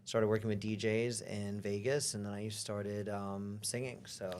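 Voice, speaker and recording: Low, flat-voiced young man chatting. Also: a little slow. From born in London, grew up in Europe. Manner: relaxed, rambling with many "like" fillers. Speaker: guest, singer and artist. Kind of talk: casual interview chat.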